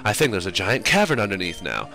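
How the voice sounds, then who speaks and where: A man voicing an eager middle-aged fossil digger in a raised, excited voice. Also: deep voice, fast, creaky, soft background music, heavily acted.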